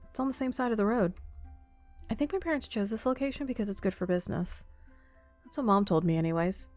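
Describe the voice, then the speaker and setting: A woman's voice: medium pitch, dry recording. Woman reading a game aloud, faint music behind. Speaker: video game player reading aloud and commenting. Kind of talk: casual let's play with read-aloud narration and asides.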